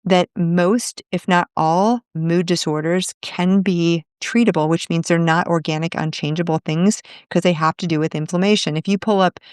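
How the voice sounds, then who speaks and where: A woman physician explaining her work in an interview, steady and explanatory, listing examples as she goes. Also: on the low side, normal speed, few pauses, clear, resonant tone, dry recording, clean studio sound. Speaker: functional medicine physician.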